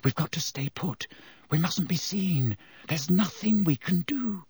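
A man's voice: sits high, animated, a little slow, a little mellow, husky, no room sound, pitched above the performer's own voice, heavily acted. A man doing an anxious, bossy teenage girl. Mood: urgent. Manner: urgent, insistent whispering.

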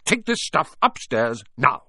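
A middle-aged uncle from a storybook, acted out by a male narrator, bullying and blustering. He barks and sneers in a forceful voice that swings widely in pitch. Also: huge pitch swings, measured pace, slightly rough, dry recording, clean studio sound, full character voice. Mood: snappish. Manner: barking, sneering, snapping.

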